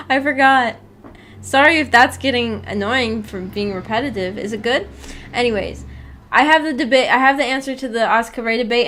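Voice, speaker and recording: A woman with a fairly high voice chats casually as a livestream host. She is giggly and playfully teasing, quick to soften things with an apology. Her talk rambles and halts, sprinkled with "like" as she thinks aloud. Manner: rambling, halting, filler-heavy talk with frequent "like". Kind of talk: casual livestream chat with viewers about anime.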